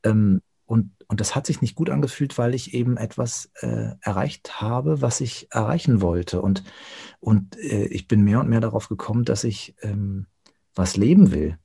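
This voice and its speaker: A man speaking reflectively as an interview guest, thoughtful and often pausing to search for words. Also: fairly low pitch, gravelly, dark tone, breathy edge. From Germany.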